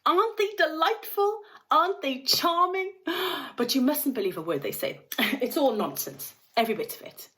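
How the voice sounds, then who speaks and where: A woman reads out an eccentric, mischievous bearded chocolate maker in a children's storybook. He is flippant and effusive, exclaiming in a high voice that leaps around theatrically. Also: expressive, moderate pace, a bit bright. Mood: delighted.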